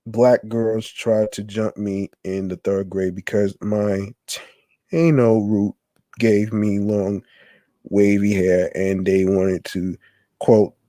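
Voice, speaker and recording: A man hosting a podcast, reading a text aloud haltingly, stumbling and restarting on words. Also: fairly low pitch, mellow, no room sound. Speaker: podcast host.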